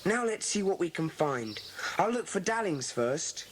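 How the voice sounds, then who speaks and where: A woman playing a bossy, earnest schoolboy who leads a children's club, brisk and commanding in an audiobook dramatisation. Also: lowish voice, very animated. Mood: earnest, decisive. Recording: hissy old cassette dramatisation.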